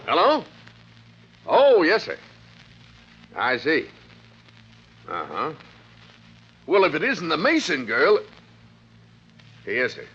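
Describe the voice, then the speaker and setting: An actor playing a folksy, chummy insurance office manager in a 1950s radio drama, chatty and a bit hesitant. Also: male voice, fairly high pitch, huge pitch swings, slow pace, long pauses, fairly bright tone, exaggerated voice. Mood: grave, businesslike. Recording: dull, faintly noisy vintage radio recording.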